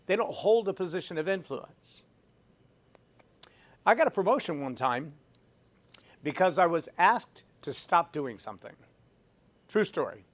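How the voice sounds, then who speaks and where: Male host, leadership monologue, emphatic, slightly higher voice, repeats key lines. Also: animated, unhurried, pauses often. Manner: emphatic, repeats phrases for effect; self-described loud voice. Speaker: podcast host. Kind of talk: leadership talk with personal story.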